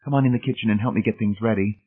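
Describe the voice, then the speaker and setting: A man's voice: mid-range voice, expressive, a little fast, narrow, tinny audio, voice pushed up, natural voice. A man voicing a commanding, coolly indifferent wife in a storybook reading, with a chastising manner. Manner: clear, chastising. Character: cool, commanding young wife, sharp and indifferent.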